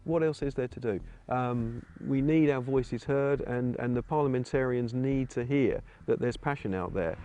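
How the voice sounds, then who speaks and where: A male protester with a loud voice, speaking in a forceful, emphatic way. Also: medium pitch, normal speed, few pauses, slight background noise.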